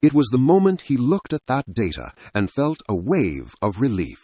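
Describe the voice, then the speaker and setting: Man, dramatic scripted narrator, low-pitched, highly expressive intonation, husky, dry studio-like sound. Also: moderate pace, no echo.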